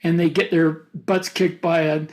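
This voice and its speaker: High-voiced man chatting about books. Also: little pitch movement, darkish tone. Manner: opinionated, halting mid-thought. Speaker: podcast co-host. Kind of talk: casual book discussion.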